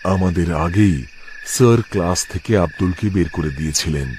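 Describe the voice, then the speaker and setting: A man's voice: sits low, moderate pace, a little mellow, slight background noise. A man narrating a scripted first-person horror story for a cartoon. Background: music.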